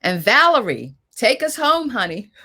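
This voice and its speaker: A woman's voice: on the high side, very animated, unhurried, sharp tone. A woman hosting and moderating a panel discussion in an informal style. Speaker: host and moderator.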